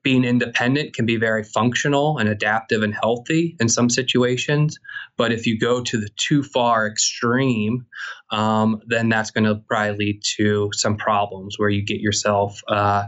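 A man, a psychology professor, explaining research findings in a measured podcast interview with frequent pauses. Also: fairly low pitch, fairly even intonation, a bit bright, muffled recording.

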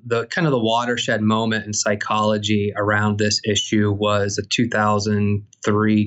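A man, a psychology professor, explaining research findings in a measured podcast interview with frequent pauses. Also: lowish voice, fairly bright tone, highs cut off.

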